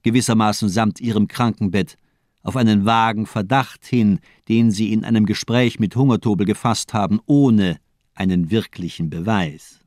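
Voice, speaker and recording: A man playing a cold, cynical, calculating murderous doctor in a German radio crime drama, eloquent and deliberate, with coolly ironic phrasing. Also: fairly low pitch, darkish tone, no echo, clean studio sound, lightly acted. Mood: coolly analytical.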